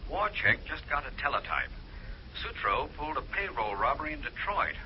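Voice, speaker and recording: An actor playing a friendly, chatty priest passing on tips, in an old-time radio drama. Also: male voice, fairly high pitch, big pitch swings, moderate pace. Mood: friendly, confiding. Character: friendly male priest acting as detective's informant. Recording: dull, noisy radio recording, telephone voice.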